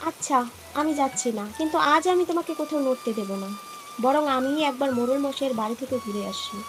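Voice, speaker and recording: A woman voicing a worried, sharp-tongued but caring village wife and mother in a cartoon story. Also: sits high, lively intonation, quick pace, very noisy. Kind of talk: dramatized cartoon story acting.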